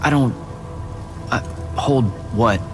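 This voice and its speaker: A man's voice: mid-range voice, slow pace, music in the background, natural voice. An actor playing a casual young male battle mage in a video game, dry and a little hesitant in a conversational way. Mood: uneasy, wry. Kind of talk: naturalistic video game cutscene acting.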